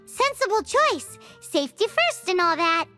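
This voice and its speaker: A woman voicing a tiny, childlike fairy companion in a video game, chirpy, curious and excitable. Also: extremely high pitch, unhurried, soft background music, full character voice. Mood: inquisitive. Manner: refers to self in third person, exclamatory. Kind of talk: video game character acting.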